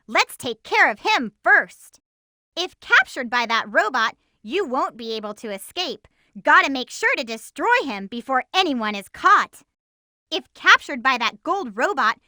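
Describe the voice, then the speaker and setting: A spirited young girl heroine from a cartoon-styled video game. The voice is extremely high and sparkling bright, with lively swings in pitch. The delivery is perky, feisty and emphatic, full of determination. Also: dry recording, clean studio sound, exaggerated voice. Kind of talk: video game voice acting, tips and battle shouts.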